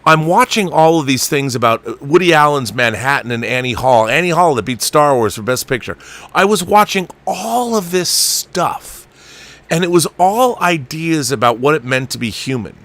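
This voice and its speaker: A man in his fifties chatting casually about films as a show host, excitable and emphatic, with some swearing. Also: fairly high pitch, moderate pace. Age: 50s. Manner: profane. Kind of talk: casual film chat.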